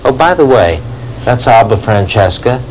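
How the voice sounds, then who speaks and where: Measured low-voiced middle-aged man. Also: expressive, slight background noise, low-quality recording. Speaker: actor and collector, guest. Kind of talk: conversational show-and-tell. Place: wood-furnished room at a table.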